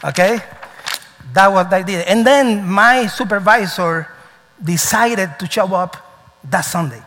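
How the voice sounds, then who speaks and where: A man preaching a sermon as pastor, animated and storytelling, with nonstandard English grammar. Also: high-pitched, measured pace. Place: church sanctuary. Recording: reverberant church hall.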